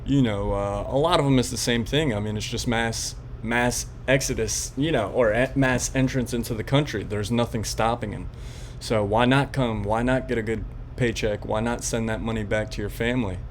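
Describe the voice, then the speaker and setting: A man hosting a podcast, giving casual political commentary in an informal, slangy, halting way. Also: mid-range voice, fairly even intonation, slight background noise. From Florida resident of Puerto Rican background. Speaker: podcast host.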